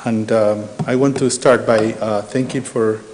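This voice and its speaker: A middle-aged man with an Argentine Spanish accent giving a measured academic conference talk, with frequent hesitations. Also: on the low side, fairly even intonation, a little mellow, slight background noise. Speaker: architecture professor presenting research. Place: large lecture hall. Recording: reverberant hall.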